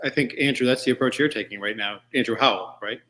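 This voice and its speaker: A man's voice: medium pitch, quick pace, sharp tone. A man hosting a casual talk show, speaking in an encouraging, appreciative way as he interviews his guests.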